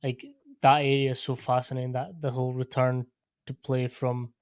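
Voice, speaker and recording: A man hosting a casual podcast interview, relaxed and loose, with lots of "like" and "sort of". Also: mid-range voice, moderate pace, no room sound, clean studio sound. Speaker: podcast host.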